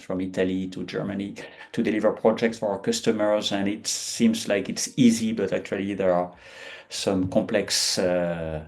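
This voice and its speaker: A man's voice: lowish voice, darkish tone. A man in his fifties with a French accent, a guest in an interview who talks with frequent hesitations and restarts. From France. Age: 50s. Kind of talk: conversational interview.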